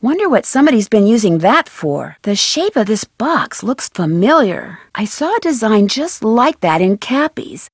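A woman's voice: a little high, sing-song, moderate pace, hardly any pauses, husky, no room sound, clean studio sound, full character voice. A young woman with an American accent playing a plucky amateur detective in a video game, chipper and wholesome as she thinks aloud. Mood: musing.

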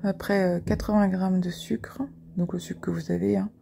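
A woman's voice: on the low side, little pitch movement, moderate pace, gravelly. A woman food blogger chatting casually while she cooks, peppering her talk with little fillers as she works. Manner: chatty, fillers like hop and voilà. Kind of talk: casual cooking demo. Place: home kitchen. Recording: echoey kitchen, loud background noise.

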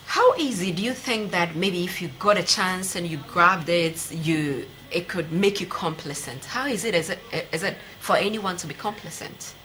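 A woman's voice: fairly low pitch, moderate pace, hardly any pauses, sharp tone. A young woman with a Ghanaian accent hosting a TV sports interview, asking probing questions conversationally. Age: young adult. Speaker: sports show host, interviewer. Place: TV studio, seated at a desk.

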